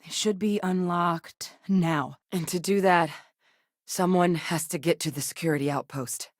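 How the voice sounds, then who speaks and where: An actress playing a composed, determined female military researcher in a sci-fi video game, speaking in a measured, earnest way. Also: fairly low pitch, no room sound, clean studio sound, close to an everyday voice. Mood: focused, businesslike.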